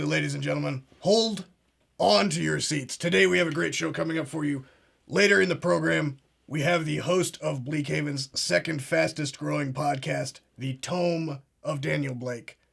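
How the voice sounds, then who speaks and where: A man doing a pompous conspiracy talk-show host with a gravelly, husky voice pitched a little high. He drives every line with self-important certainty and leans on "ladies and gentlemen" like a catchphrase. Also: steady tone, normal speed, exaggerated voice. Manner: pompous, keeps saying "absolutely" and "ladies and gentlemen". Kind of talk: comedic parody show.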